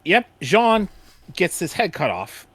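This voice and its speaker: A man's voice: sits high, wildly swooping pitch, slow pace, a bit bright, husky, dry recording. A man hosting a casual chat, stammering and repeating words as he explains. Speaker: audio drama creator and host.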